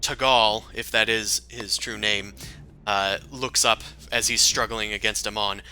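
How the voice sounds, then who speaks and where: A man narrating as game master in a tabletop game, chatty and relaxed with many fillers. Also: lowish voice, a little slow, faint music behind.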